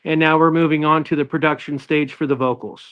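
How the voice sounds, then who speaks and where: A man's voice: fairly high pitch, fairly even intonation, a little fast, a bit bright, dull audio. A middle-aged man from Northern California, a guest guitarist in a casual interview, easygoing and rambling with lots of fillers and restarts.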